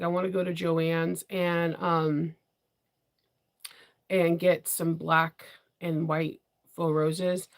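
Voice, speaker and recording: Congested woman host, chatty, rambling, gravelly edge. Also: lowish voice. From Washington DC area. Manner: chatty, rambling, laughs often. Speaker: sewing channel host. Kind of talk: casual live chat with viewers.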